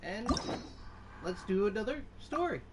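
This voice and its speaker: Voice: mid-range voice, very animated, a little slow, very noisy. A gaming channel host giving casual, informal gameplay commentary, often muttering to themselves. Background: game music.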